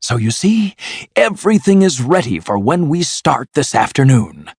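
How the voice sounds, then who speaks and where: A man voicing a boastful, excitable, rich toad from a storybook, gushing and exclaiming as he talks on. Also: fairly low pitch, slow pace, slightly rough, dry recording, full character voice. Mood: rapturous. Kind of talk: storybook character voice.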